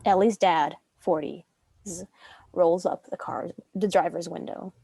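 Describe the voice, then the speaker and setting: A woman's voice: lowish voice, measured pace, gravelly, warm tone, husky. A woman reading stage directions as scripted narration for a dramatic script reading.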